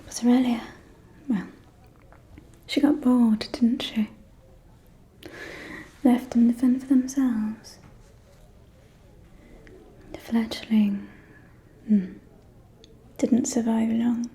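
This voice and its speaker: A catty vampire aristocrat played by a woman whispers gossip in a soft, mellow voice. She takes her time, drawling confidingly and archly, as in an intimate ASMR roleplay. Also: on the high side, flat delivery. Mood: conspiratorial, catty. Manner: slow, confiding, arch, drawling.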